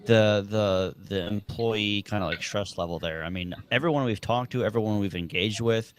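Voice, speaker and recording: A man's voice: lowish voice, moderate pace, a little hiss or hum. A man co-hosting a casual interview, asking questions loaded with "like" and other fillers. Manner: filler-heavy, says "like" often.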